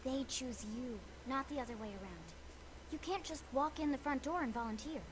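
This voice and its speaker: An excitable young video game girl voiced by an actress with a high voice and a nerdy, gushing eagerness. She sounds like a tech fan in full flow, heard over clearly noisy game ambience. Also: normal speed, lightly acted. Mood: chirpy. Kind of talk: English-language video game voice acting.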